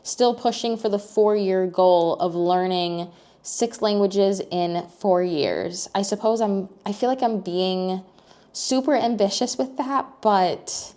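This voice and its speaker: A woman's voice: medium pitch, slow pace, mellow. An American young woman talking casually to camera in a personal vlog, thinking aloud with many fillers and restarts. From United States. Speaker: vlogger.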